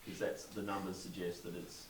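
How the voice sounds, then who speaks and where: A man's voice: low voice, little pitch movement, normal speed, recorded from a distance, a crowd in the background. Male reporter, off-mic in an echoey room, rambling questions. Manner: rambling, conversational. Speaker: journalist. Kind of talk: press conference questions.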